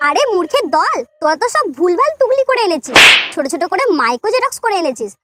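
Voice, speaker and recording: Woman voicing a stern, very high-pitched scolding goddess. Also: big pitch swings, fast talker, loud music behind the voice. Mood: scolding, sly. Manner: scolding, commanding. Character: stern scolding goddess Saraswati posing as female exam invigilator.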